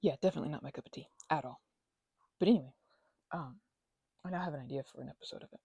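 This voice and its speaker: Low, husky, creaky-voiced woman housemate acted. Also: very fast, dark tone, dry recording, studio quality. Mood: animated, musing. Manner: rambling, hesitant, playful, giggly. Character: chatty, supportive female housemate, weary from a migraine. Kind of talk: intimate ASMR roleplay, casual conversational delivery. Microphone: close microphone, dry.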